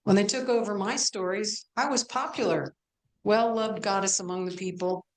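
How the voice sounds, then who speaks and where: A woman's voice: mid-range voice, moderate pace, close to an everyday voice, rough recording. A woman playing a self-assured pagan goddess in a stage play, telling her myths in a matter-of-fact way. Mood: wry, slighted. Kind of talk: amateur stage play performance.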